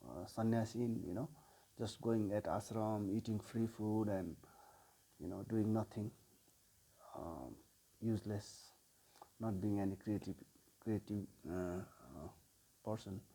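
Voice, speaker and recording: A man in his forties from Nepal with a Nepali accent, a sound healer telling his life story in an unhurried way, pausing often. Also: lowish voice, dark tone, slightly rough, low-quality recording. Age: 40s. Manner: unhurried, with frequent pauses and filler sounds. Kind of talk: personal life story told to camera.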